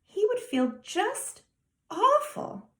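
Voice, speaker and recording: A woman's voice: extremely high pitch, big pitch swings, extremely slow, fairly bright tone, echoey room, voice pushed up, full character voice. A woman voicing a serious, earnest small boy of nearly ten in a storybook reading. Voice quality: grave, emphatic childlike delivery.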